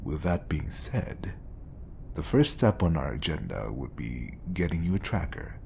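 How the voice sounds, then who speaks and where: A man playing a smooth, scheming, obsessive bodyguard who feigns polite professionalism in an audio roleplay. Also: a bass voice, big pitch swings, measured pace, darkish tone, a little hiss or hum, heavily acted. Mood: coaxing.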